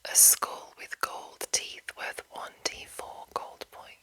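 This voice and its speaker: A woman hosting ASMR, chatting and reading aloud in a soft, breathy, unhurried whisper. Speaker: ASMR host.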